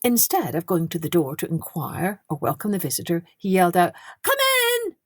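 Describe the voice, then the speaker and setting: A woman's voice: lowish voice, expressive, brisk, a little mellow, slightly rough, no echo. Woman narrating children's stories over music. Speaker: storytelling podcast host. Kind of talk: scripted children's story narration.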